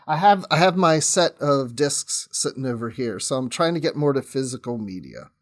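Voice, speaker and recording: A man's voice: mid-range voice, very animated, normal speed. A talkative man chatting casually as a podcast guest, often breaking off and restarting his own sentences. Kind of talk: casual chat.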